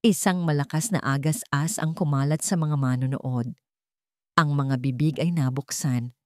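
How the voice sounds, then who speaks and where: A woman narrating a scripted family drama in a measured, suspenseful way, phrase by phrase. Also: sits low, fairly even intonation, darkish tone, no room sound, studio quality. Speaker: story narrator.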